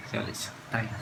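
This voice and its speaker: A young man making a prank call for his vlog, hesitant and repeating himself. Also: mid-range voice. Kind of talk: casual chat. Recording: echoey room with clear noise.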